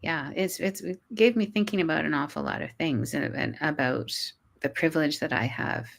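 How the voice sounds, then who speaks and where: A woman's voice: low voice, very animated, normal speed, creaky. Earnest woman guest with frequent restarts. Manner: earnest, repeats words and restarts often. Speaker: guest, college professor. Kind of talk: conversational interview.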